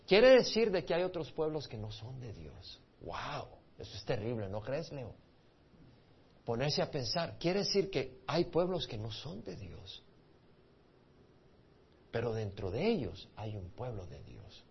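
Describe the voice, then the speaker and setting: Husky Salvadoran pastor teaching Bible. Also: male voice, medium pitch, very animated, a little slow. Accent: Salvadoran Spanish. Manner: conversational, asks the audience questions, restarts words. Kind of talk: expository Bible study. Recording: dull lo-fi recording.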